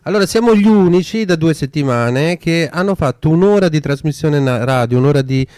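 Male co-host, high-pitched, casual radio sign-off style, dry sound. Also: animated, a little fast, darkish tone, no echo.

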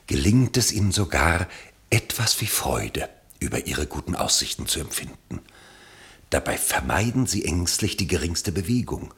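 A man's voice: deep voice, a bit bright. A man narrating a literary radio play in an ironic, elevated storytelling manner.

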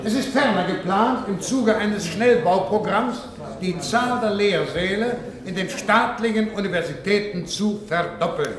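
Radio play: officious senior director, high voice for a man, formal bureaucratic address, echoey room. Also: unhurried, crowd noise. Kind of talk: German radio play acting. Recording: echoey hall sound.

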